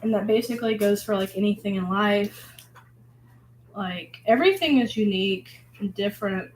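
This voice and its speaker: Hoarse vlogger woman, mid-pitched, loose rambling self-talk with lots of fillers, faint noise behind. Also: normal speed, rough recording. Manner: rambling, filler-heavy, musing. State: hoarse from illness. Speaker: vlogger painting. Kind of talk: casual reflective monologue.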